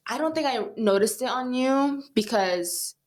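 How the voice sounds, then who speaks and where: A woman's voice: a little high, expressive, measured pace. Chatty young woman, lots of "like". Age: 20s. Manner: giggly, chatty, full of fillers like "like". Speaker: podcast guest. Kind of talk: casual interview chat.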